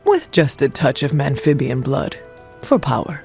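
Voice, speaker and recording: A man playing a courteous supernatural warrior with dry humour in a video game, speaking in a formal, measured, deadpan way. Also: medium pitch, creaky. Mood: dry teasing. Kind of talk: video game dialogue acting. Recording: tinny band-limited audio, music underneath. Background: background music.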